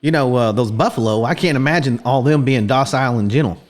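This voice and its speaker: A man's voice: medium pitch, fast. A man co-hosting a casual podcast, chatty and folksy. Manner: chatty and folksy, chuckling and saying "boy, howdy". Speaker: podcast co-host.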